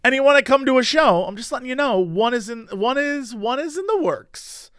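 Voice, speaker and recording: A man with a high-pitched voice co-hosts a comedy podcast in a loose, rambling style. His delivery swings up and down playfully, and the recording is dry and close. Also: expressive, a little fast, fairly bright tone, clean tone, dry recording. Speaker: podcast co-host. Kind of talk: casual comedic podcast chat.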